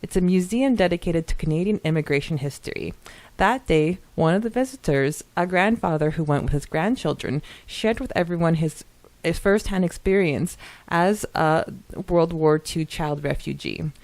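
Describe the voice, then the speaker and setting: Female podcast co-host, lower voice, chatty and conversational, dry close-miked sound. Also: expressive, moderate pace, a little mellow, no echo. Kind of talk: casual chat, reading out and commenting on listener comments.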